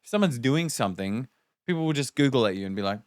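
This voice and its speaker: A man co-hosting a podcast, musing wryly in relaxed, casual conversation. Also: medium pitch, fast, dry recording, clean studio sound. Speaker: podcast co-host. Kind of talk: casual chat.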